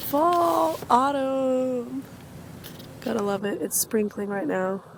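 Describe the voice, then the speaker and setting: Young woman, slightly hoarse, upbeat rambling vlog chat, phone recording, noisy background. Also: high voice, slow. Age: young adult. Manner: chatty, upbeat, rambling with many ums. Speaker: vlogger, university student. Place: outdoors on a campus, then an indoor study corner.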